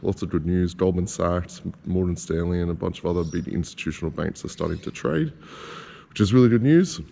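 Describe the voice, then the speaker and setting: A man vlogging in a casual, chatty way, his voice croaky this morning. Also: deep voice, a little fast, in a large hall, slight background noise. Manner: relaxed, chatty, loose delivery. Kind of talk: casual vlog chat.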